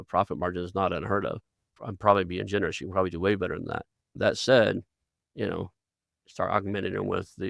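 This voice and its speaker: Man, Oklahoma accent, very deep voice, gravelly fry, dry close sound, rambling podcast host. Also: a bit bright, dry recording. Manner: rambling, folksy, restarts often. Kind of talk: conversational interview.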